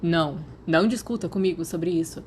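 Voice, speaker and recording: A woman's voice: on the low side, fairly quick, darkish tone, slight background noise, natural voice. A Brazilian woman voicing an anxious, serious, guarded teenage boy in audiobook dialogue. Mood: reluctant.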